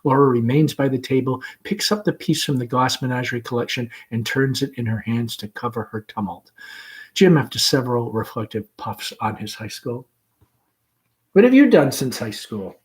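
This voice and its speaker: A man's voice: medium pitch, fairly even intonation, fairly quick, poor audio. A man reading stage directions aloud in an informal way, now and then correcting himself.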